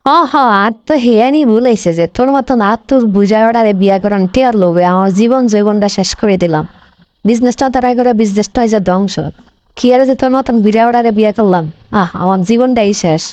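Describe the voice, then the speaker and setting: A woman's voice: mid-range voice, quick pace, mellow, no room sound, natural voice. A woman playing a dramatic, blaming wife and shop boss in a comic cartoon, wailing and scolding in a regional Bangladeshi Bengali dialect. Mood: angry, bitter. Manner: wailing, scolding, repetitive complaining.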